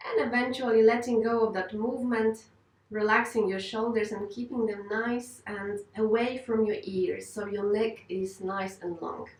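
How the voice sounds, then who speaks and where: Woman, meditation instructor, unhurried reassuring delivery, mid-pitched voice, recorded at a distance with room echo. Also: steady tone. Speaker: yoga and meditation teacher. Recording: roomy, distant microphone.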